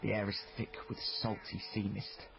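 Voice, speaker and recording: A man's voice: lowish voice, completely flat delivery, normal speed, gravelly, dull audio, music in the background. A man playing a brooding, wary youth who narrates a radio drama in the first person, introspective and uneasy.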